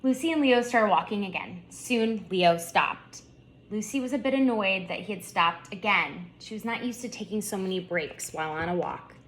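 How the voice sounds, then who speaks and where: A woman author narrating a children's storybook in an expressive read-aloud manner. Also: medium pitch, normal speed, poor audio. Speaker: author reading her book aloud.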